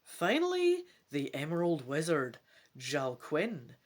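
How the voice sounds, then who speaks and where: A woman's voice: deep voice, slow pace. A woman hosting a gamebook let's play, switching between casual, chatty asides and dramatic reading aloud. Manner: chatty, animated, self-questioning asides.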